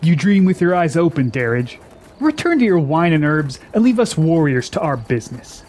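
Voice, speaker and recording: Man playing a proud, high-voiced young warrior prince. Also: sing-song, normal speed, a little mellow, slight background noise. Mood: scornful. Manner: haughty, dramatic. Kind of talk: radio drama acting.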